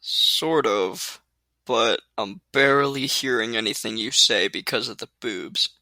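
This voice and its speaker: A man's voice: mid-range voice, a little slow, bright, forceful tone, low-quality recording. An actor playing a wry young man with dry, teasing humor who looks after his sick date in a radio play.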